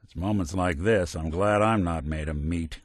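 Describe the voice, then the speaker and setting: An actor voicing a weary, wry android detective for a video game, in a hard-boiled noir manner with old-fashioned slang and dry sarcasm. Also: male voice, low voice, moderate pace, no room sound. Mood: grim, determined. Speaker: companion character in a video game.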